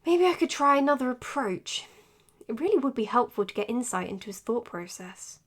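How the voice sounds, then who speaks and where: A woman playing an earnest, dutiful psychiatrist in an audio drama, speaking in a measured, precise, professional way. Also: a little high, sing-song, fairly bright tone. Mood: measured, thoughtful. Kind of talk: audio drama acting as spoken case notes and dialogue.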